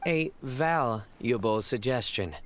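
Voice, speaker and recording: An actor playing a solemn, formal warrior in a video game who delivers puns and grand lines deadpan. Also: male voice, mid-range voice, slow, a little hiss or hum.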